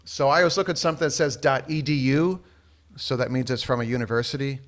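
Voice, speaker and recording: A man's voice: medium pitch, fast talker. A man hosting a podcast chats casually in an ordinary mid-range voice. He sounds curious, asks his guests lots of questions, and reads bits aloud from what he finds online. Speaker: podcast host. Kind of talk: casual chat.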